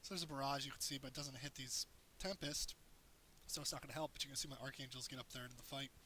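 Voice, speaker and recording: Man, hoarse voice, bright tone, casual gameplay tutorial, rambling explanations, faint hiss. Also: mid-range voice, steady tone, quick pace. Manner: explains as he plays, loose and rambling. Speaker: gameplay commentator. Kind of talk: casual game tutorial commentary.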